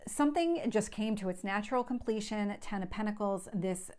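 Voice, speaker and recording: A woman's voice: mid-range voice, normal speed, darkish tone. A woman with a slight lisp, giving a conversational tarot reading to viewers and explaining things in a reassuring way, often repeating points. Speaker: tarot reader, channel host.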